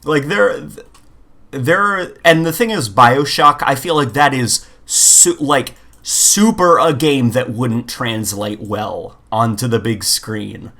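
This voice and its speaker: A man's voice: medium pitch, slow, creaky, sharp tone. Casual, opinionated male podcast co-host. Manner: informal, opinionated, rambling with frequent "like". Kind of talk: casual gaming chat.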